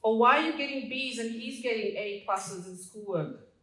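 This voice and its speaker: A woman's voice: a little high, normal speed, bright, forceful tone. A woman in her fifties from Fiji gives a personal speech at a stand microphone, reading from notes with humor and laughing at her own jokes. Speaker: community association president. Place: small dark stage hall. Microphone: stand mic, filmed from a distance. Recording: roomy hall sound.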